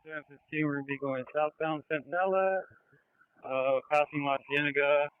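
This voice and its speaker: A man's voice: a little high, normal speed, smooth, no echo. A man, a police officer in a pursuit, giving terse, procedural location call-outs over the radio. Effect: police radio transmission. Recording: tinny, phone-like police radio.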